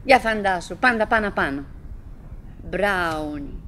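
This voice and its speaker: An actress playing a chatty middle-aged wife in a theatrical radio drama monologue, halting and musing, by turns coaxing and murmuring, wistful, fretful and forcedly cheerful. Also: female voice, mid-range voice, animated, a bit bright, lightly acted. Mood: self-admonishing, musing. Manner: halting, musing, self-interrupting, swinging between coaxing and murmuring.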